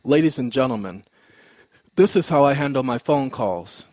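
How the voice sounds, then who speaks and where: A man's voice: mid-range voice, expressive, normal speed, warm tone, no room sound. A man speaking in a formal, polite but insistent way, explaining a complaint in precise wording. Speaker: caller, presenter of own recorded phone call.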